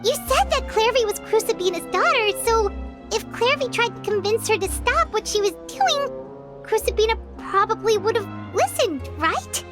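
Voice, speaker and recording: A woman voicing a small, curious, earnest fairy companion in a video game, with a squeaky childlike voice and an inquisitive, expressive manner. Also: moderate pace, over music. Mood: curious, puzzled. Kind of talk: video game character dialogue.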